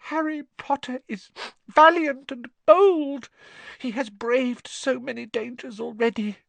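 A performer voicing a small male house-elf, servile and anxious, with a squeaky, fretful, reverent way of speaking for a storybook. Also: slow pace, creaky, no echo, heavily acted. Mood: tearful, pleading. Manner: reverent third-person speech, fretful.

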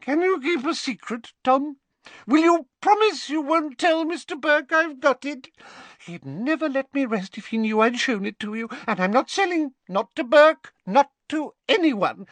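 A man voicing a fussy, vain old rich woman who talks in a shrill, simpering and imperious way. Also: animated, a little slow, a bit bright, no echo, exaggerated voice.

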